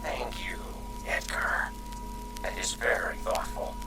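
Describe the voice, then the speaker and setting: An actor playing a gentle, guilt-ridden creature from another dimension in a radio drama, speaking formally, earnestly and hesitantly. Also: male voice, slow pace, whispered, over music, lightly acted, rough recording. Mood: guilty, earnest.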